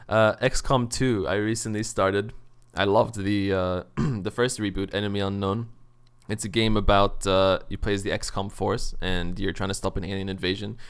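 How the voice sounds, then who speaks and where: A man's voice: fairly low pitch, steady tone, moderate pace, smooth. A man hosting a casual gaming podcast chat, talking enthusiastically and swearing freely. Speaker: podcast host.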